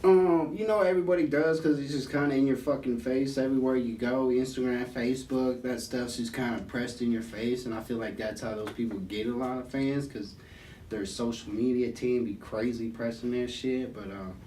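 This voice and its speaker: A man's voice: fairly even intonation, normal speed, mellow. A man who is a guest rapper, talking loosely and rambling, with lots of fillers and slang. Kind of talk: casual conversation. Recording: echoey room, distant mic, faint rumble.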